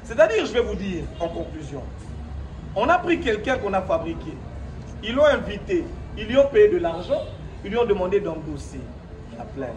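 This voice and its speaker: A Congolese man with a notably high voice speaks in an echoey room, some distance from the microphone. He delivers an improvised statement to the press, defiant and emphatic, hammering points by repetition and rhetorical questions. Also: very animated, moderate pace, a little mellow. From Democratic Republic of the Congo. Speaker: speaker at his own press conference. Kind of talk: press statement mixing French and Lingala, partly improvised. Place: echoey room at his own premises. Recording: roomy, distant microphone, noisy background.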